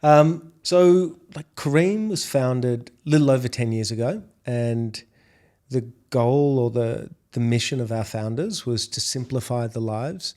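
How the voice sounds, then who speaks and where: Australian man, middle-aged, warm mellow tone, measured business interview answers. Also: medium pitch. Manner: measured, repeats words when restarting. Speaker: podcast guest, food delivery executive.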